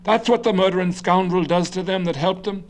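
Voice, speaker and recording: Brief: male reader as a blustering, red-faced old ward politician, ranting, slightly lower than his narration. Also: steady tone, brisk, slight background noise, lightly acted. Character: combative old city politician, hot-tempered.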